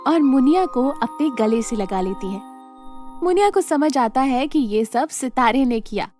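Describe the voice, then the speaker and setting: A woman's voice: sits high, expressive, measured pace, mellow, soft background music. A woman narrating a scripted children's moral story as the storyteller.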